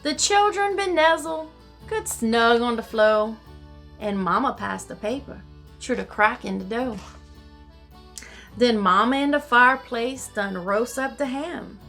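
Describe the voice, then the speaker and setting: A woman voicing a folksy, excitable Cajun storyteller, reading rhymed storybook verse theatrically in a singsong dialect. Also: a little high, slow, faint music behind, exaggerated voice. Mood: folksy, building.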